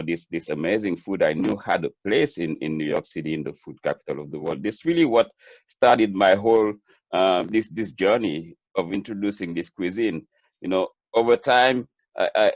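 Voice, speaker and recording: A man's voice: on the low side, moderate pace, no room sound, lo-fi sound. A middle-aged man with a Senegalese accent gives an earnest, reflective interview answer, repeating words as he builds his points. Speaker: webinar guest, chef and food entrepreneur.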